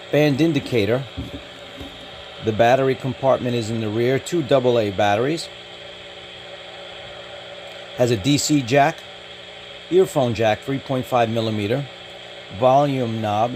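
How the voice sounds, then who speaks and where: A man with an average-pitched voice talks through a casual product review. He is matter-of-fact and tends to itemize in short, clipped phrases. The home-made recording has clearly audible noise behind him. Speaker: radio hobbyist reviewer. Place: indoors.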